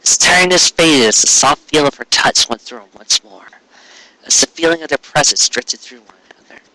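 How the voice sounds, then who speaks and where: Bright, forceful man narrating a story. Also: on the high side, expressive, a little fast, low-quality recording. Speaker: fan fiction reader. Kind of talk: dramatic scripted story narration.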